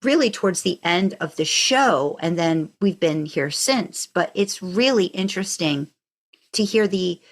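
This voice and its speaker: A woman's voice: fairly low pitch, animated, measured pace. A woman co-hosting a podcast in casual fan chat, talking in a reflective, rambling way that circles back mid-thought. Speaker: podcast co-host.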